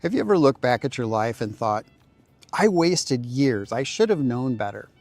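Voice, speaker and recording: A man speaking straight to viewers in a reflective monologue, with earnest, deliberate short phrases. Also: medium pitch, sing-song, a little mellow. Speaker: video creator speaking to viewers. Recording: dry close voice with faint music. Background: faint music.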